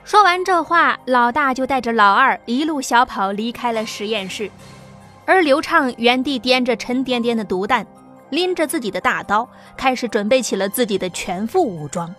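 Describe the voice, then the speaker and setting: A woman narrates a science fiction audiobook in a steady, dramatic read. Her voice sits fairly high. Soft music plays quietly behind her. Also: moderate pace. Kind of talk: scripted narration.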